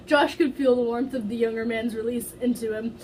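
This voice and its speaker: A woman's voice: on the high side, fairly even intonation, fairly quick, a crowd in the background, rough recording. A woman doing an amateur dramatic read-aloud, stumbling over words and slipping into giggly casual asides. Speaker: fan fiction reader.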